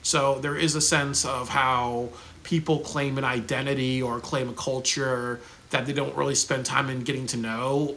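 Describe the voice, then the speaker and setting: Man, casual video reviewer, bright edgy tone, rambling, lots of fillers and restarts. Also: medium pitch, creaky, slight background noise. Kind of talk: casual spoken commentary.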